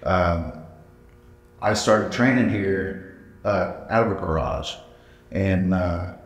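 Low-voiced thirties gym owner from Texas, halting casual answers, roomy gym sound. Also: male voice, faint music behind. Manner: plain-spoken, halting with many uh fillers. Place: large indoor gym. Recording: echoey gym room.

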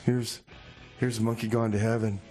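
A man's voice: lowish voice, flat delivery, normal speed, darkish tone. A man hosting a community radio music show, casually presenting and bantering in improvised comedy.

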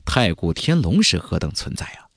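A man's voice: low-pitched, very animated, moderate pace, creaky, breathy edge, dry recording, clean studio sound. A man narrating a fantasy audiobook in a dramatic, theatrical and emphatic storytelling style. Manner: theatrical, emphatic, with exclamatory flourishes.

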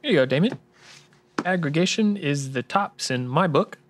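A man's voice: a little high, expressive, moderate pace, lightly acted. A man playing a helpful, deferential tax expert in an old-time radio drama, explaining things earnestly. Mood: earnest, explanatory. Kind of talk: 1940s radio drama acting.

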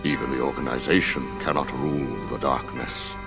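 An actor playing a mysterious cloaked older man in a video game, speaking in a solemn, cryptic way with a gravelly voice over game music. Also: fairly even intonation, normal speed. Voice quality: deep gravelly voice. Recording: game audio with loud music underneath.

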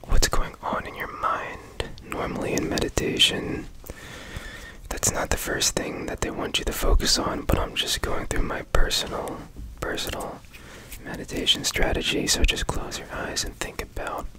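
A man guiding a meditation in ASMR style, in a soft breathy whisper with gentle, soothing instruction, close to the microphone. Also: moderate pace, low-quality recording. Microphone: close studio microphone.

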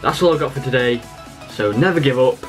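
A man's voice: medium pitch, a little fast, faint music behind. A fifteen-year-old boy with an English accent, talking casually to camera as a vlogger answering questions. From England. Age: 15, teenager. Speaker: vlogger, channel host. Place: small bedroom, indoors.

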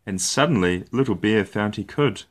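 A man with a low voice narrates a children's picture book. His delivery is deliberate and expressive, with lively swings in pitch, as a storyteller hosting story time. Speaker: storyteller, show host.

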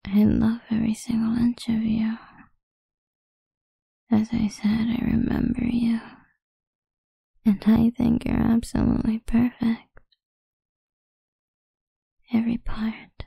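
A woman playing a possessive, seductive goth vampire idol in an intimate, breathy ASMR whisper, close to the microphone. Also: on the high side, monotone, a little slow, pauses often, warm tone, dry recording, full character voice. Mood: adoring.

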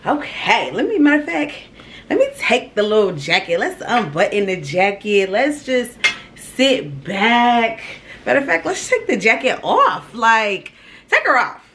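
A young woman in her twenties from Ohio, telling her story to viewers in a casual, animated vlog and calling them "y'all". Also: a little high, a little slow, slight background noise. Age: 20s. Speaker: vlogger. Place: small indoor room.

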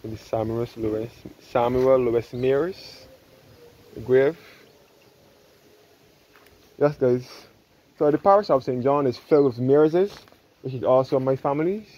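A man's voice: mid-range voice, unhurried, long pauses, dark tone. A man narrating an informal walking tour outdoors, often repeating and restating his phrases as he explains. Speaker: travel vlogger giving a walking tour. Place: outdoors in a churchyard on a cliff. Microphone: handheld phone camera, held by the speaker. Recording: dull lo-fi outdoor phone audio, background noise.

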